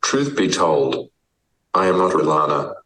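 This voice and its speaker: A synthetic male voice with an Australian accent reads typed text in a deep, low register. It moves at an unhurried, measured pace and proclaims each statement. The sound carries a roomy echo. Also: very animated, pushed and bright, recorded from a distance. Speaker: guest, advocate. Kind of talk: typed text read aloud by speech software.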